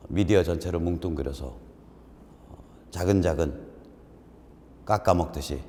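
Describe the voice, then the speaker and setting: A man in his fifties hosts with a joking, self-mocking manner, his voice mellow and a little congested. The sound is roomy, with a long hall echo and a faint hum behind it. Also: low-pitched. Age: 50s. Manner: joking, self-deprecating, animated. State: congested, strained throat. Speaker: host. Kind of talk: casual political talk show banter.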